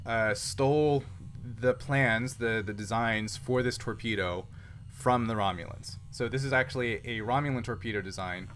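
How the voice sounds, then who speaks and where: A man running a casual tabletop sci-fi roleplay as game master, halting and pausing to find his words. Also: medium pitch, fairly bright tone, a little hiss or hum.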